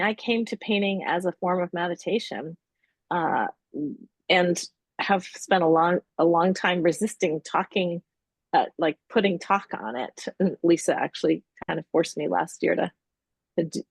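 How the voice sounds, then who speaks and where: A middle-aged woman from the Middle East, an exhibiting artist speaking thoughtfully and haltingly in a gallery talk. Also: fairly low pitch, creaky, breathy edge, no echo. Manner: thoughtful, halting, searching for words. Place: art gallery.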